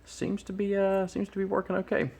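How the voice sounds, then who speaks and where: A man's voice: high voice, monotone, brisk, creaky, heavy background noise. A man giving casual, unscripted unboxing commentary as host, hesitant and thinking aloud as he goes. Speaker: host of an electronics unboxing channel. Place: indoors at a workbench.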